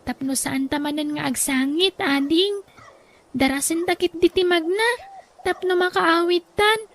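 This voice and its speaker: A woman with a northern Philippine accent playing a caring, dutiful young daughter in a radio drama, gentle and earnest. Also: very high-pitched, measured pace. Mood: sad, caring.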